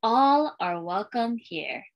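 A woman's voice: a little high, big pitch swings, takes it slowly, lo-fi sound. A woman in her thirties, a school librarian, reading a picture book aloud to young children in a sing-song storytelling way. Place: small indoor room with bookshelves and curtain.

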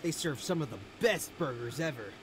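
A man's voice: a little high, animated, moderate pace, a little hiss or hum, close to an everyday voice. A young man playing a restless farm boy with big dreams in a video game. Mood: upbeat, friendly. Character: restless farm son dreaming of stadium stardom. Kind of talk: video game character dialogue.

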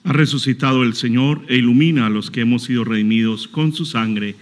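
A man's voice: medium pitch, fairly quick. A man priest leading prayers and blessings at an outdoor shrine Mass, in a solemn recited cadence. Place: outdoor shrine chapel altar.

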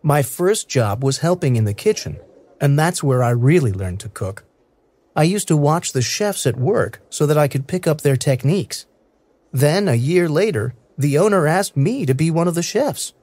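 Brief: male reader for learner audio, careful diction, lively intonation, dry booth sound. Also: a little high, normal speed, no echo. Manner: clear, careful, evenly paced delivery. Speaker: English listening practice reader. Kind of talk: slow scripted reading for learners.

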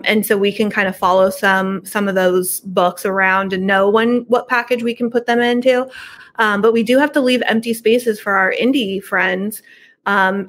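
A woman's voice: fairly high pitch, fairly bright tone. Middle-aged woman chatting on a video call. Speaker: podcast guest, horror book subscription business owner. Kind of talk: casual interview chat. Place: living room at home, video call.

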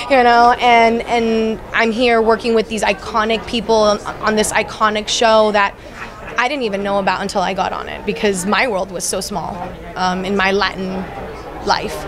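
A woman's voice: medium pitch, flat delivery, moderate pace. A young woman from Miami, an actress being interviewed at an event, talking earnestly with many restarts into a handheld mic. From Miami, USA. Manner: earnest, frequent restarts and fillers. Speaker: actress guest. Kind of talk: casual interview chat. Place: event backdrop, crowded venue. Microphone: handheld mic held by interviewer. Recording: crowd chatter behind.

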